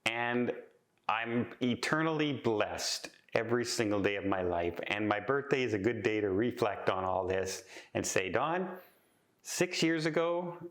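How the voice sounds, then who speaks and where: A man talking casually in a vlog, chatty and heartfelt, with a calm voice and frequent restarts. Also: on the low side, measured pace. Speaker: travel vlogger. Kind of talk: casual reflective vlog talk.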